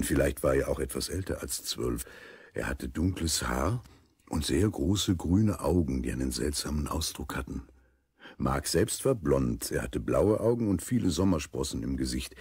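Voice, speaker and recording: A man narrating a children's radio play as a grave, unhurried storyteller. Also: extremely low pitch, a little mellow, breathy edge, dry recording, studio quality, exaggerated voice.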